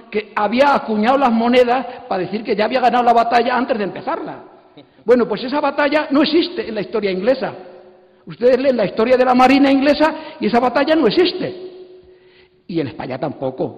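An older man giving an impassioned, emphatic, digressive talk on a conference stage into a handheld microphone. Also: sits high. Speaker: panel speaker, comics artist. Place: auditorium stage. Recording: echoey hall PA, muffled.

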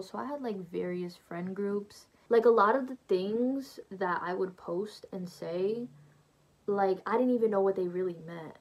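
A woman's voice: medium pitch, a little mellow, low-quality recording. Chatty young woman telling her story. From Brazilian family. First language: Portuguese. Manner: chatty, lots of "like" and "you know", laughs lightly. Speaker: vlogger sharing her faith testimony. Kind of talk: casual personal storytelling to camera. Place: small bedroom, indoors. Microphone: phone or camera close in front.

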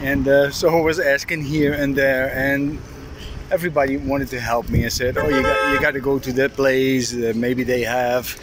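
A man's voice: flat delivery, normal speed. Middle-aged man vlogging casually over outdoor noise. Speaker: sailing vlogger. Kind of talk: casual vlog chat and DIY explanation. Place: outdoors at a harbor boatyard and on a boat deck. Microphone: handheld camera at arm's length. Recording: noisy outdoor recording with ambient rumble.

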